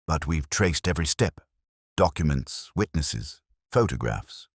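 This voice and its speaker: A man voicing a coolly logical, assured detective in an audiobook, speaking in measured, precise, formal phrases. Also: rumbling low, sing-song, vocal fry, breathy edge, no room sound, clean studio sound, heavily acted. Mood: grave, exacting.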